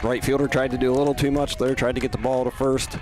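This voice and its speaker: A man's voice: mid-range voice, level tone, fast, music in the background. An American man giving conversational color commentary and analysis on a baseball game. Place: at an outdoor high school baseball game.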